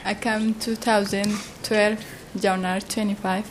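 A young woman from Ethiopia, a native Oromo speaker, testifying as a student in halting, simple English. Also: mid-range voice, level tone, background noise. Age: young adult. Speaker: student witness.

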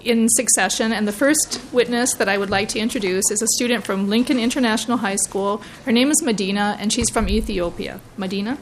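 A woman's voice: medium pitch, fairly quick, few pauses, a little hiss or hum. A woman giving earnest, persuasive testimony before a legislative committee, speaking into a hearing-room microphone. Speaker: education advocate testifying.

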